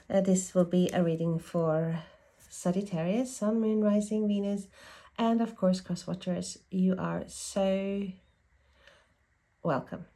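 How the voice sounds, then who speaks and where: Slow-talking congested woman reading tarot. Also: medium pitch, mellow. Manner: rambling, self-correcting, musing aloud. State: congested from a lingering cold. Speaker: tarot reader.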